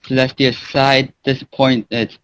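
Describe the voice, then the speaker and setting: A man's voice: medium pitch, little pitch movement, slow pace, fairly bright tone, low-quality recording. A man hosting and narrating a fan fiction as an amateur reader, casual and occasionally stumbling over words. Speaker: channel host and story narrator.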